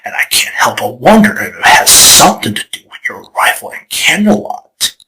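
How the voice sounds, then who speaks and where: A man's voice: mid-range voice, big pitch swings, pushed and bright. A man voicing a scheming, theatrical male chaos spirit in a fan comic dub. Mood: probing. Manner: dramatic, teasing, slow and deliberate. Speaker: storyteller figure.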